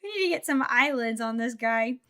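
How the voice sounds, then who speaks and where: A woman's voice: on the high side, animated, a little fast, bright, forceful tone. A young woman streamer chatting casually while building Lego, thinking aloud and answering her chat. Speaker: VTuber streamer.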